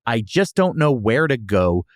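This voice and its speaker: Animated American man, wry food critic. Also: medium pitch, unhurried, no echo, clean studio sound. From Texas, USA. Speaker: podcast host and food reviewer. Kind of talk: casual comedic food review chat.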